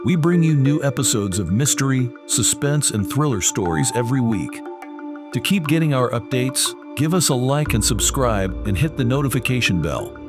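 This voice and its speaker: Lively male announcer over music. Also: medium pitch, normal speed. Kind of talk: scripted outro announcement.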